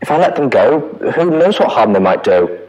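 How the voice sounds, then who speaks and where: A man playing an anguished pony prison inspector recording an audio log, with a wavering, pleading, halting delivery. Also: mid-range voice, very animated, a little mellow, lightly acted, rough recording. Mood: torn, worried. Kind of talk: dramatic audio-log monologue.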